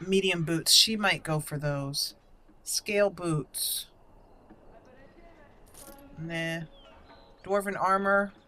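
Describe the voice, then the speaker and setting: A woman's voice: lowish voice, fairly even intonation, drawn-out words, pauses often, sharp tone, a little hiss or hum. A woman giving casual gameplay commentary, thinking aloud and reading item names out as she goes. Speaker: gaming channel host. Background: video game music.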